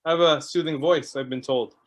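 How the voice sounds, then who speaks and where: A man's voice: fairly high pitch, steady tone, a little fast. A man hosting an online video call, chatting casually and greeting people one by one while he jokes about stalling. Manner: chatty, joking, warm greetings to each arrival. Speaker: host.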